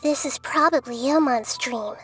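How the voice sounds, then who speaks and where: A woman voicing a wise, gentle, childlike young goddess in a video game, speaking in a measured, explanatory way. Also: sits high, faint music behind. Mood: thoughtful. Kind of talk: video game dialogue acting.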